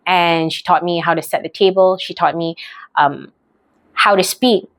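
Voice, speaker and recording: A young woman from Malaysia with a Malaysian accent talks to camera about her life. Her voice sits a little low, and the sound is close and dry, with no room echo. She rambles and corrects herself as she goes. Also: fairly even intonation, normal speed, a bit bright. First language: English. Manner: chatty, rambling, self-correcting. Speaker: vlogger. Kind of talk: casual personal storytelling.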